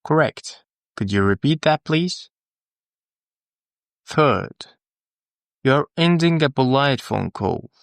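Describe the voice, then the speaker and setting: Man, native Arabic speaker, language teacher, unhurried pace, dry close sound, deliberate drill delivery. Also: mid-range voice, lively intonation, vocal fry, no room sound. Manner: deliberate, instructional, with pauses for the learner. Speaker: English teacher. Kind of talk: scripted language lesson, repeat-after-me drills.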